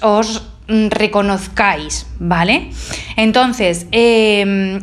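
A woman from Spain hosting a solo self-help podcast, talking gently and encouragingly to her listeners, with frequent hesitant pauses. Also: a little high. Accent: Spain Spanish. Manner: hesitant, many drawn-out fillers. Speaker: podcast host.

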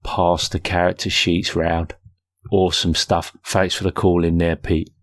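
A man's voice: low voice, level tone, measured pace, dry recording, studio quality. A man hosting a podcast, talking casually and informally in a self-deprecating way. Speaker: podcast host. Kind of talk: casual solo podcast talk.

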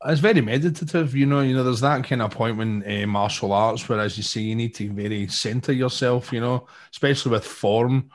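A man's voice: mid-range voice, steady tone, a little fast, hardly any pauses. Scottish guy cohost, jokes around, stumbles on words a bit. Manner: jokey, repeats words when starting. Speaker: interview co-host. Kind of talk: casual interview chat.

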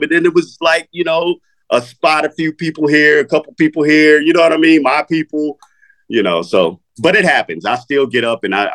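A man's voice: mid-range voice, fairly bright tone. A middle-aged man, a rapper, talking casually in an interview, laid-back, swearing freely and filling his talk with "you know" and "man.".

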